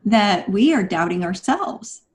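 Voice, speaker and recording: A woman speaking as a podcast guest writer, explaining things in an articulate, measured, conversational way. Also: mid-range voice, lively intonation, darkish tone.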